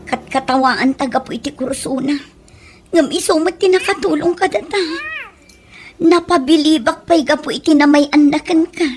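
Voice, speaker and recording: A woman's voice: high-pitched, moderate pace, a little mellow, slight background noise, natural voice. A woman with an Ilocano Filipino accent playing a contrite, anxious young daughter in a radio drama. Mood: ashamed. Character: contrite young daughter, once scornful, anxious for her mother.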